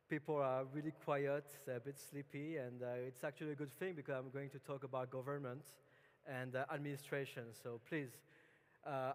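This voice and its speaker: French-accented man speaking in a reverberant hall. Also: medium pitch. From France. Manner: relaxed, chatty, many fillers and restarts. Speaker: conference speaker. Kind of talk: conference talk.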